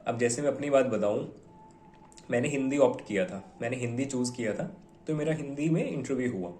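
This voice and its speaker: A man's voice: medium pitch, a little fast, darkish tone, a little hiss or hum. A young man from India, giving casual, conversational advice to viewers and mixing Hindi with English words. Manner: conversational, mixes Hindi with English words, fillers like "theek hai". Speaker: bank officer giving exam advice. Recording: echoey room.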